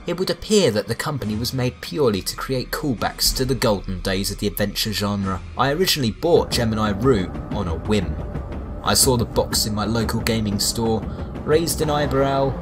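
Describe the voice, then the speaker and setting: A man's voice: lowish voice, lively intonation, moderate pace. A man giving a scripted video game review with wry, sarcastic asides. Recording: music behind the voice. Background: background music.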